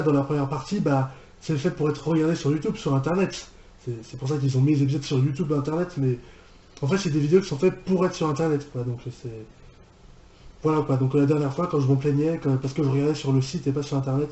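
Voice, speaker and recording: High-ish, mellow man rambling in echoey room. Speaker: reaction video host and fan dubber. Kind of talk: casual live reaction commentary.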